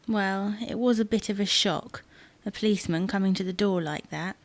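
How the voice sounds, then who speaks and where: A woman playing an anxious, fussing wife who is quick to contradict in a domestic audio drama. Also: mid-range voice, lightly acted. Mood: defensive, unsettled. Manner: fretful, insistent. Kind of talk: domestic comedy-drama dialogue.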